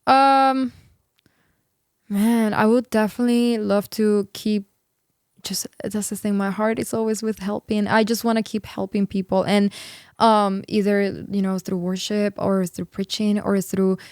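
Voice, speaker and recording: A woman's voice: medium pitch, flat delivery, unhurried, a little mellow, smooth, no echo, studio quality. A woman in her twenties, from Peru, a singer and worship leader talking in an earnest, upbeat way, with lots of "like"s, as an interview guest. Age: 20s. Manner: earnest, upbeat, filler-heavy with many "like"s. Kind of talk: casual interview chat.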